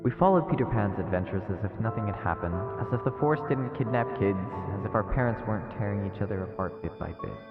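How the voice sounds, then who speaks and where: A man voicing an anxious child narrator of a horror story, reading in a measured, foreboding way with reverb. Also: on the low side, animated, voice pushed down. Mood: brooding, uneasy. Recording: echoey reverb, dull muffled sound. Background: game music.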